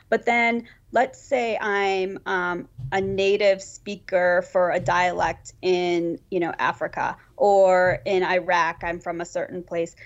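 A woman answering as an Army guest on a podcast, explaining clearly with some fillers. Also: lowish voice, dull audio.

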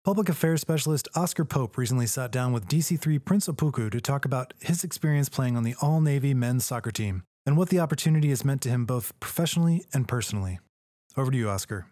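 A male host reading scripted segment links in a polished, smooth delivery. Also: mid-range voice, animated, fast, mellow, dry recording, studio quality. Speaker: news host. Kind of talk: scripted narration.

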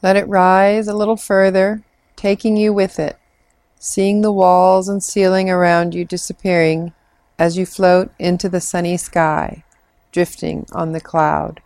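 A woman leading a guided imagery meditation in a soothing, measured manner with long pauses. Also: on the low side, little pitch movement, darkish tone, no echo. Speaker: meditation guide, clinical social worker.

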